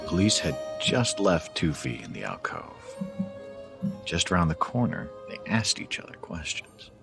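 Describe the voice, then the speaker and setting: Deep, creaky male narrator. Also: big pitch swings, measured pace, music in the background. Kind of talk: scripted story narration.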